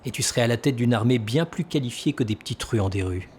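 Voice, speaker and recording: An actor playing a calculating senator who persuades with measured authority in an audio drama. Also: male voice, mid-range voice, animated, a little mellow, slight background noise, natural voice. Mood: persuasive. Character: calculating, persuasive male senator and military schemer.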